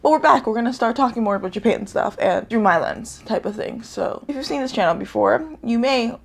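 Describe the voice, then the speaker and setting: Young woman with a gravelly, rambling chat. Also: on the high side, brisk. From United States. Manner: rambling, self-correcting, laughs often. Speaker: vlogger. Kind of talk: casual vlog chat.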